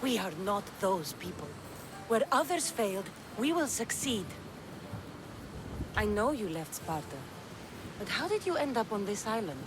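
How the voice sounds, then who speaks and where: A woman's voice: mid-range voice, big pitch swings, measured pace, pauses often, background noise. A woman playing a fierce, earnest young Greek mercenary and protective daughter in a dramatic video game. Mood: defiant, earnest.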